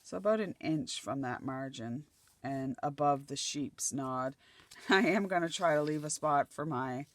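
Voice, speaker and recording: A middle-aged woman from Nova Scotia chats as she crafts, thinking aloud as she places each piece. Her voice is slightly croaky and dry. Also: deep voice, a little slow. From Nova Scotia, Canada. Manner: chatty, thinking aloud while gluing collage pieces. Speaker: craft channel host. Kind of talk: casual crafting chat.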